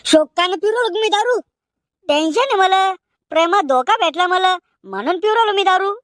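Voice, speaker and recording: A woman's voice: very high voice, lively intonation, a little fast, a bit bright, no room sound, clean studio sound. A woman voicing a bantering young village man in a cartoon comedy, with a rural Maharashtrian Marathi accent. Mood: argumentative. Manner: colloquial, bantering.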